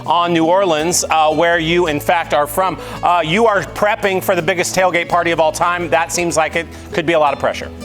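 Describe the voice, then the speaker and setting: A man co-hosting a live talk show, asking questions in a halting, filler-heavy way. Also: on the high side, steady tone, fairly bright tone, soft background music, rough recording. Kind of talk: casual live TV chat.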